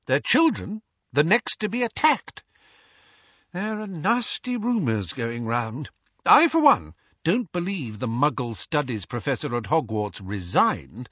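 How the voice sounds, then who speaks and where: A man's voice: fairly high pitch, fairly bright tone, husky, no echo, voice pushed up, heavily acted. A man voicing a kindly, fussy middle-aged wizard father who hesitates with fillers. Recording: dry, dull lo-fi audio.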